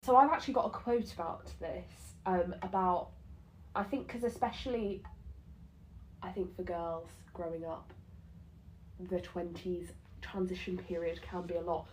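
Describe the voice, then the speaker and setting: A twenty-year-old woman co-hosting a chat podcast, talking quickly and bubbling with giggles. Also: fairly low pitch, steady tone, a little mellow, a little hiss or hum, rough recording. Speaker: podcast co-host. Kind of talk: casual chat.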